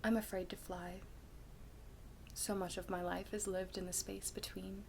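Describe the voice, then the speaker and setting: A woman performing a candid, self-deprecating young woman in a dramatic stage monologue, with halting, confessional delivery and dramatic pauses. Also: mid-range voice, level tone, vocal fry, darkish tone, a little hiss or hum. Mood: anxious, confessional.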